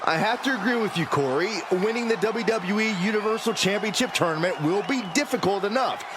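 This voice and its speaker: A man playing an excitable, indignant wrestling commentator in a sports game, calling it over loud arena noise. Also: high-pitched, a little fast. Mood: earnest.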